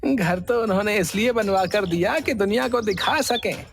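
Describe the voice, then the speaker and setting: A man voicing a touchy, resentful middle-aged brother in a cartoon, sulky and quick to take offence. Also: high-pitched, vocal fry, slight background noise. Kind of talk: cartoon voice acting. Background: background music.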